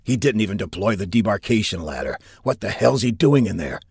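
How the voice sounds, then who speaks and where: A man's voice: lowish voice, very animated, fairly quick, gravelly, husky, no echo, studio quality, exaggerated voice. A man voicing a brusque male squadron commander who speaks curtly and curses. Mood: irritated. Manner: curt and commanding, cursing.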